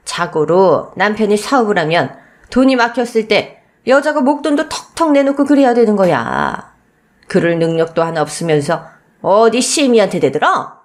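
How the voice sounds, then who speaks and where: A woman's voice: mid-range voice, big pitch swings, normal speed, darkish tone. Woman acting a haughty, scornful old mother-in-law. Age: older. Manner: haughty scolding with rhetorical questions. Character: cold, scornful elderly mother-in-law, rich and stingy.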